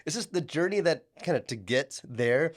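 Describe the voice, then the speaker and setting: A man's voice: on the high side, animated, moderate pace. A man who is sick and has lost his voice, chatting casually with many fillers and restarts as he reviews a film for his channel.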